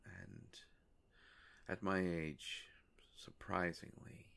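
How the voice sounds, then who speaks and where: A man playing a courteous, ancient vampire in a roleplay audio drama, speaking in a halting, formal, soft-spoken way. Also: low voice, very animated, gravelly, in a whisper, full character voice. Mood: gently reassuring. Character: courteous, ancient male vampire, gentle and hesitant.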